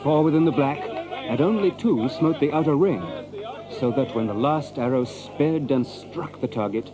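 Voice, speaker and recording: An actor playing a measured storyteller, reading scripted narration of a medieval adventure. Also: male voice, medium pitch, a crowd in the background, natural voice.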